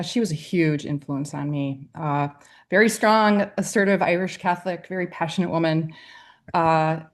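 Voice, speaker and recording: A middle-aged woman from Wisconsin speaks as an interview guest. Her voice sits low, and she answers in an earnest, reflective manner. Light laughter slips into her speech now and then. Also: a little mellow. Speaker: guest poet and writer.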